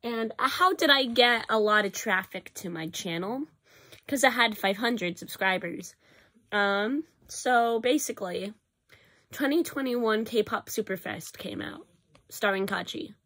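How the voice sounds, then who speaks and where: Hoarse teenage girl rambling on webcam. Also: a little high, a little slow. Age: teens. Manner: rambling, self-correcting, animated at times. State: hoarse from a cough. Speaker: vlogger. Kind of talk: casual confessional vlog. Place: small bedroom, indoors. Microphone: built-in webcam or phone mic, close.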